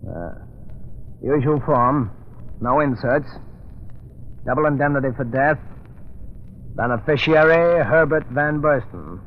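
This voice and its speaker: A man's voice: mid-range voice, lively intonation, measured pace, pauses often, natural voice. An actor playing a wisecracking crooked henchman in an old radio drama. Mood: businesslike. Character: wisecracking crooked lawyer, male henchman of swindlers. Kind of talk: 1930s radio drama acting. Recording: tinny, noisy 1930s radio recording.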